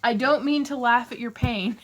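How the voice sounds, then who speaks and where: A woman's voice: a little high, moderate pace. A woman hosting a casual craft livestream, chatty and laughing often.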